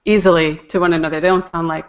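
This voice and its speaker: A woman's voice: fairly low pitch, fairly even intonation, fast, lo-fi sound. A woman talking casually as an interview guest, hesitant and thinking aloud as she goes. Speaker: guest, poetry podcast editor and narrator.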